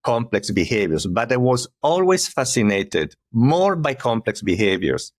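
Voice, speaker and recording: A man in his fifties with an Italian accent, a neurologist telling his career story in an earnest, measured way as a podcast guest. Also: mid-range voice, very animated, no room sound, studio quality. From Italy. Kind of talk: conversational interview.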